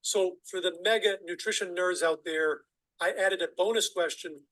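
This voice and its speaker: A man narrating an online nutrition video in a clear, measured, instructive way. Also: sits high, bright, forceful tone, rough recording.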